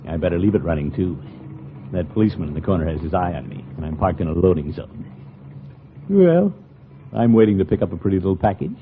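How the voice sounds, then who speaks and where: An American actor playing a pompous, flustered middle-aged water commissioner in a 1950s radio comedy, blustering and muttering in exasperation. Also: male voice, low-pitched, animated, fast talker. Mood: fretful muttering. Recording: tinny, noisy old radio broadcast.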